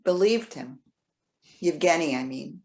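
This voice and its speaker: Casting: actress as elderly woman, lovelorn and resentful, curt and sullen, widely swinging pitch, stage drama reading. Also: animated, creaky, darkish tone, exaggerated voice. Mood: bitter, hurt. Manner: curt, sullen, halting when pleading. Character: elderly stubborn lovelorn mother, resentful and dejected. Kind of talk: readers theater stage acting.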